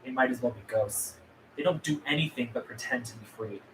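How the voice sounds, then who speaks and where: A man's voice: mid-range voice, fairly quick, fairly bright tone, close to an everyday voice. A man playing a defiant, world-weary and blunt rebel leader at a script table read. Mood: bitter warning. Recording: echoey room with background noise.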